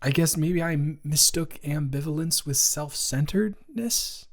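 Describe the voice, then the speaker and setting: A young man playing a boyfriend's caring best friend in an improvised romantic audio roleplay, talking in a casual, stammering, awkwardly indignant way. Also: on the high side. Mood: appalled, sympathetic. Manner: halting, stammering, informal, repeats himself.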